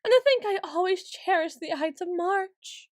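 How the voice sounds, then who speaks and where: A woman's voice: extremely high pitch, animated, normal speed, dry recording, studio quality. A woman playing a haughty, petulant ancient female vampire in a scripted audio roleplay, speaking in a theatrical, imperious and archly formal way. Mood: bitter, dramatic. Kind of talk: scripted ASMR roleplay monologue.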